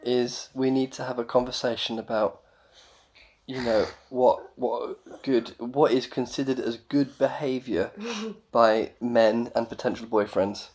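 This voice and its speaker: A man's voice: medium pitch, steady tone, unhurried, a little mellow. Concerned dad questioning his young child. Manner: mock-concerned, questioning, patiently explaining. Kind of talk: casual bedtime chat with a child.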